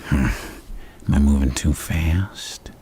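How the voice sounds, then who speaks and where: A man playing a flirtatious, menacing, unhinged villain in a gravelly half-whisper for an ASMR roleplay, slow and teasing with murmured hums. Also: rumbling low, fairly even intonation, dark tone, full character voice. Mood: obsessive, intense.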